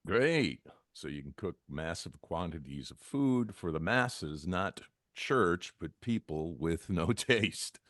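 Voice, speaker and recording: A man's voice: sits low, lively intonation, takes it slowly, few pauses, fairly bright tone, full character voice. A man playing a skeptical, needling straight man with dry, questioning delivery at a comedy table read. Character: skeptical, needling male conversation partner in comedy.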